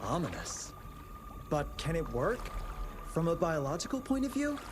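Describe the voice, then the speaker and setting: Earnest, hopeful engineer. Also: male voice, fairly high pitch, wildly swooping pitch, measured pace, background noise. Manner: earnest and persuasive. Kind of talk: video game cutscene acting.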